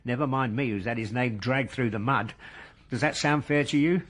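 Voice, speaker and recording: An actor as a husky-voiced male car dealer in a radio drama, cornered by police. He blusters and justifies himself, aggrieved and sorry for himself at mid pitch. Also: normal speed, a bit bright, natural voice. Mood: self-pitying, indignant. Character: defensive, self-pitying, aggrieved male car dealer under police questioning. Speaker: complainant in a police interview.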